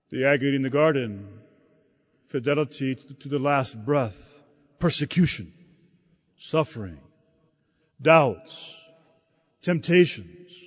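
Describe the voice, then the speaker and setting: Guy giving a sermon real slow, stumbles and repeats words, big echoey hall. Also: medium pitch. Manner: slow, halting delivery with frequent repeated words. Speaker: preacher. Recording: long hall reverb.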